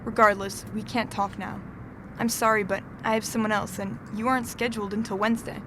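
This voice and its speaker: A woman acting a composed, earnest middle-aged therapist in a student audio drama, speaking in a measured, professional way. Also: medium pitch, flat delivery, noisy background, natural voice. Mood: guarded, professional.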